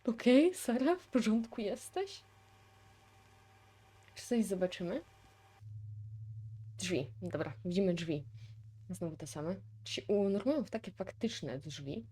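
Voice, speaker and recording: A woman's voice: medium pitch, sing-song, measured pace, pauses often, dark tone, husky. A woman with a Polish accent giving casual reaction commentary as a video host, musing and thinking aloud.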